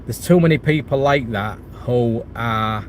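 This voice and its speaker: A man's voice: medium pitch, measured pace, slight background noise. A middle-aged man with a cold, chatting casually on a livestream, rambling with lots of restarts and fillers. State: has a cold and chest infection. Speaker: property channel host. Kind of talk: casual livestream chat reading viewer comments.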